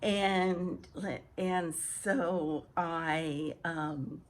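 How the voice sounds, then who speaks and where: A woman vlogger with a hoarse early-morning voice, chatting casually and haltingly to viewers as she repeats and restarts phrases. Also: on the low side, steady tone. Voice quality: husky, hoarse. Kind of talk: casual rambling chat to viewers. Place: outdoor home patio.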